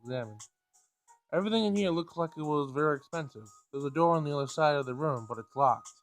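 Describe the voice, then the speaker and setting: A man's voice: a little high, quick pace. A man hosting a gameplay recording, reading a visual novel aloud and adding casual commentary of his own. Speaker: gameplay host. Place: home screen recording. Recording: music behind the voice.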